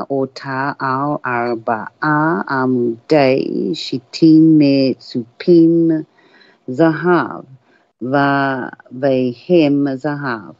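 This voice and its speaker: A woman reading scripture aloud as a Torah reader, in careful, halting short phrases. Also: extremely low pitch, no room sound. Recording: dull, lo-fi, dry sound.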